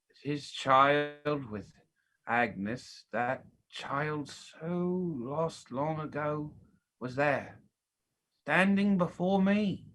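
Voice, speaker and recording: A man's voice: on the high side, fairly bright tone, exaggerated voice. A man playing a stern, theatrical general. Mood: haunted. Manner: grave, deliberate, theatrical. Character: stern, vengeful military general haunted by a lost child. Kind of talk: dramatic stage play reading.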